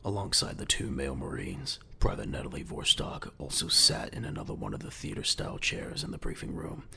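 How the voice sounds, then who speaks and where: A man's voice: a bass voice, big pitch swings, a little fast, vocal fry, sharp tone, slight background noise. A man narrating an audio drama from a script in a dramatic storytelling style.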